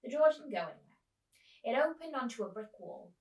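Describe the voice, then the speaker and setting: Woman storyteller, expressive children's narration, roomy echo, set back from the microphone. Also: on the high side, brisk, recorded from a distance, muffled recording. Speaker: teacher reading a storybook aloud.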